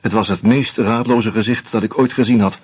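A male narrator reading a first-person novel aloud in a very husky, breathy voice. His delivery is calm and measured, sitting low in pitch. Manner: calm, measured, subdued storytelling.

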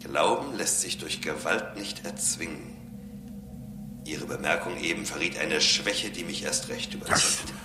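Deep pedantic robot in a radio play, super sure of his logic, echoey with music behind. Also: unhurried. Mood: coolly logical. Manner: formal, pedantic, declarative. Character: self-assured philosophizing robot, logical and condescending. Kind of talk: radio drama acting. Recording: echoing sound, music behind.

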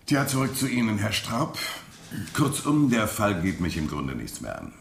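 An actor playing a dry, officious, unhurried police inspector in a German radio play. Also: male voice, on the low side, huge pitch swings, creaky, reverberant, heavily acted. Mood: dry, official.

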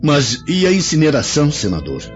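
A man's voice: on the high side, huge pitch swings, brisk. An actor playing a cautious, respectful Roman man in a radio drama, speaking formally. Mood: doubtful. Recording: dull lo-fi audio, loud music behind.

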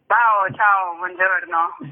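A woman's voice: medium pitch, very slow. A woman interviewed on radio as a guest artist, explaining her show and searching for words. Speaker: guest, actress and playwright presenting a show. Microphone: telephone. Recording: narrow, tinny phone line on radio.

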